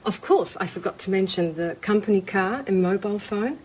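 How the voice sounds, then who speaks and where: An actress playing a confident, persuasive businesswoman, formal and smoothly pushy in scripted dialogue. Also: mid-range voice, brisk, darkish tone, close to an everyday voice. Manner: formal, assured.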